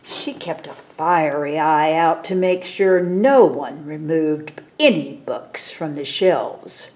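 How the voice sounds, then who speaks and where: A woman with a slightly low voice reads a children's picture book at a very unhurried pace. She is expressive and steps out of the text to explain things to young listeners. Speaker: library story time reader. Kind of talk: read-aloud of a children's picture book with asides.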